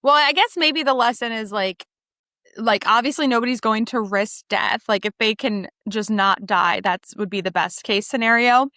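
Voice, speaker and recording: Female podcast co-host, higher-pitched and bright, conversational book chat. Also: moderate pace, no echo, studio quality. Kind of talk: casual book discussion chat.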